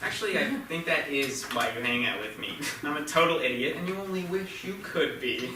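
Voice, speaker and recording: A man's voice: a little high, a little fast, lightly acted. An actor playing a brash, reckless, foul-mouthed teenage boy with slangy, goading delivery in a staged reading. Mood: taunting, goading. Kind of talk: staged play reading, casual slangy dialogue. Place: theatre stage before the mic at a distance. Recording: echoey theatre, distant mic, faint noise.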